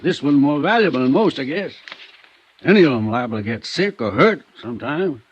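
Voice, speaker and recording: Actor as folksy older country vet, animated. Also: male voice, medium pitch, fairly quick, a little hiss or hum, close to an everyday voice. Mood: guarded, explanatory. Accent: American. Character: folksy, proud, defensive older male country veterinarian. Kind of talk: 1950s radio drama acting. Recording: dull vintage radio recording.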